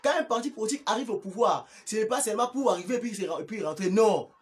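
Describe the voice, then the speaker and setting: Male political commentator, Congolese accent, high register, emphatic and repetitive, unscripted with halting restarts. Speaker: political party activist and commentator.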